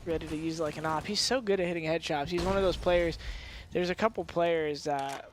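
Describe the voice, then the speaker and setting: A man with a high-pitched, slightly nasal voice, commentating a game with chatty, analytical remarks. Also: fairly quick, slight background noise. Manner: analytical, chatty, joking asides. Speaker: esports commentator.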